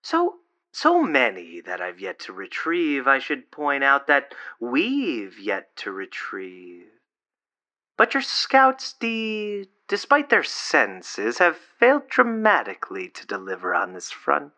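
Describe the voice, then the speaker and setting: A man's voice: mid-range voice, huge pitch swings, slow, sharp tone, full character voice. A man acting a pompous, evasive doctor in an audio drama, formal in speech and hesitant when questioned. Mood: defensive.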